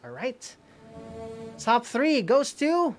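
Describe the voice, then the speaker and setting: A woman's voice: sits high, sing-song, takes it slowly, over music. A woman with a Filipino accent co-hosting an awards announcement, mixing English with Visayan and tagging phrases with "no?". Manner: mixes English with Visayan, frequent "no?" tags. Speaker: co-host of an online awards ceremony. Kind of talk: announcing award winners, semi-scripted.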